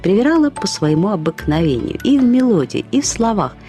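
An actress narrating a short story as a woman fondly and ironically recalling a friend, with expressive, slightly husky delivery. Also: mid-range voice, fairly quick, faint music behind, lightly acted. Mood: reminiscing, wry. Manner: acts out quoted remarks with lively intonation. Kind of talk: expressive literary storytelling, first-person reminiscence.

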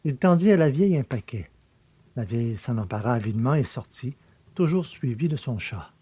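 Mellow, slightly husky male audiobook narrator. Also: medium pitch, lively intonation, fairly quick, no echo. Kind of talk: scripted literary narration.

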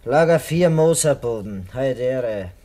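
An actor playing an impudent Viennese miner with a Viennese accent, insolent and wisecracking, in a radio play. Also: male voice, mid-range voice, expressive, unhurried, noisy background, natural voice. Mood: cheeky.

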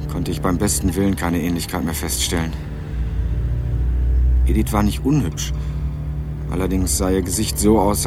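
Ein Schauspieler spielt einen jungen, skeptischen Studenten in einem Krimihörspiel, trocken und literarisch im Ton. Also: male voice, low-pitched, lightly acted. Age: young adult. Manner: dry, wry, literary narrating. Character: young male student investigating, wry and sceptical. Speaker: first-person narrator and lead. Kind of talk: radio crime drama, narration and dialogue. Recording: music behind the voice.

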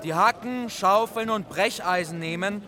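High, sharp-voiced German wartime guard. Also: male voice, measured pace. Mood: commanding. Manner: commanding, shouted orders. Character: barking male German wartime guard giving orders. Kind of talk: radio drama acting.

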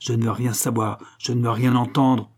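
A man's voice: on the high side, expressive, moderate pace, warm tone, slightly rough, pitched above the performer's own voice. A man voicing a furious young nobleman bent on avenging his father, with strident, accusing outbursts in an audiobook. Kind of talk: audiobook dialogue acting.

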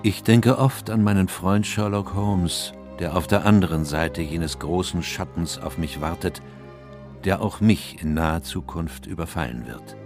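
An actor playing an older, loyal English doctor in a radio drama, narrating and speaking in a grave, reflective, melancholy way. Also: male voice, low voice, a little slow, music in the background.

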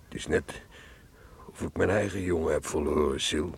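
An actor in a Dutch radio play, playing a loyal village neighbour who speaks in a subdued, halting, deferential and sorrowful way. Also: male voice, very deep voice, creaky, husky, exaggerated voice. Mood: shaken.

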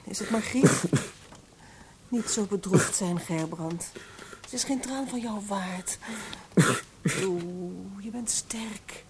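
An actress playing a lonely, devoted woman who speaks gently and soothingly in a radio play. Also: medium pitch, slow, long pauses. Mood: consoling. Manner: soothing, gentle, hushed at tender moments. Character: lonely, devoted woman, tender and self-sacrificing. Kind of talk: Dutch radio play acting. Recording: noisy, hissy old radio play recording.

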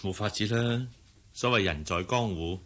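A man's voice: on the low side, normal speed, a bit bright. A man playing an easygoing, hard-working married businessman in a radio drama. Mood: wry.

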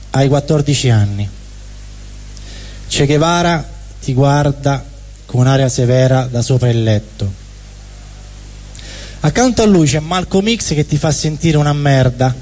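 A man's voice: a little high, a little mellow. A man giving a dramatic literary reading live on stage. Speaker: stage performer. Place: indoor stage at a live event. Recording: reverberant hall, faint noise.

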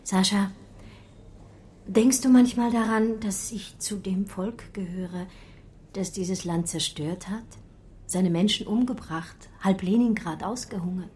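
An actress playing a wistful German art historian, narrating memories of a lost lover in a measured, intimate radio drama style. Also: female voice, medium pitch, a little mellow, breathy edge. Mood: hushed, troubled.

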